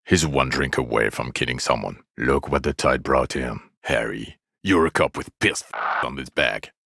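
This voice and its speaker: Jaded male detective, extremely low voice, dry studio sound, sarcastic, exasperated, foul-mouthed, video game character. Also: moderate pace, creaky, a bit bright, no room sound, full character voice. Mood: weary, reproachful.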